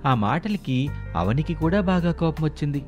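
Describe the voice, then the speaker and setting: A man's voice: fairly high pitch, animated, moderate pace, a little mellow, dull audio, faint music behind. A man reading scripted narration of a family moral story as the storyteller.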